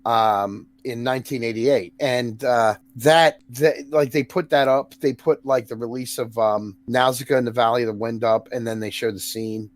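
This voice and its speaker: A New Zealand man hosting a casual podcast in a mid-pitched voice. He rambles through long stories, stops and restarts often, and laughs at what he is describing. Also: steady tone, moderate pace, few pauses, no room sound. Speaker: podcast host.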